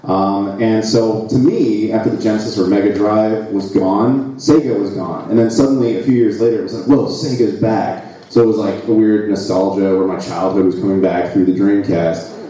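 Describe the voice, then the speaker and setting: A man's voice: on the low side, fairly even intonation, moderate pace, hardly any pauses, mellow. American man, echoey hall panel. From United States. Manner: chatty, jokey, rambling with restarts. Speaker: panel guest, YouTuber. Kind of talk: casual panel chat. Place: live expo stage, large hall. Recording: echoey hall, distant mic.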